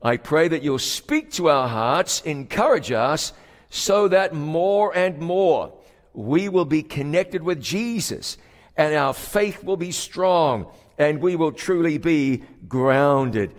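A male pastor delivers a sermon in a raised, fairly high voice. He stretches key words for emphasis and sinks to a quiet hush at serious moments. A long hall reverb trails each phrase, as from a stage mic in a large sanctuary. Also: animated. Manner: emphatic preaching with drawn-out key words and sudden hushed drops.

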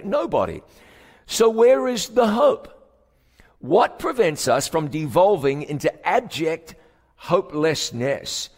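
Man, pastor, sermon, higher voice, emphatic drawn-out words, hushed drops, long sanctuary reverb.

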